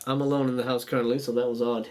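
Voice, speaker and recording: A man's voice: medium pitch, little pitch movement, very fast, darkish tone. A man hosting an online show in a casual monologue, with theatrical, rambling showman patter. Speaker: YouTube show host.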